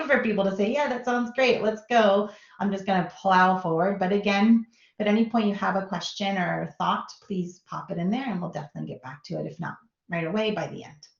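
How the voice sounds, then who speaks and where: A woman's voice: medium pitch, gravelly, reverberant, muffled recording. A woman from Minnesota with a Minnesota American accent, giving an upbeat, chatty guest lecture over a video call. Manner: chatty, upbeat, laughs often. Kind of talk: online lecture.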